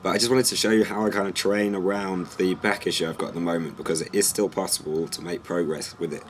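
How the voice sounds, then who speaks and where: A young man with a hoarse, fading voice, casually narrating his gym workout as a fitness vlogger, explaining with a relaxed, slightly rambling manner. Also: low voice, quick pace, a little hiss or hum.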